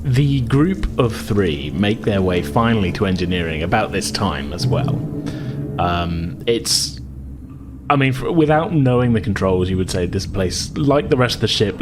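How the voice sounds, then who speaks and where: A man's voice: lowish voice, expressive, unhurried. A man running a tabletop game as game master, narrating in a rambling, hesitant, conversational way and explaining as he goes. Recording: clear background noise.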